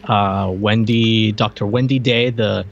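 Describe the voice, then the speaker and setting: A man's voice: lowish voice, fairly even intonation, measured pace, gravelly. A man co-hosting a podcast, chatting casually about a film with joking, wry remarks. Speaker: podcast co-host. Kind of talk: casual movie review banter. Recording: muffled audio with noticeable background noise.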